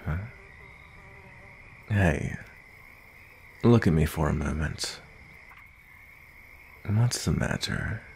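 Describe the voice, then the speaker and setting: A man voicing a gruff werewolf bounty hunter with a soft side, in an intimate ASMR roleplay, with a deep gravelly voice and a murmuring, deliberate delivery. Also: expressive, a little hiss or hum, heavily acted. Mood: puzzled, softening. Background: nature ambience.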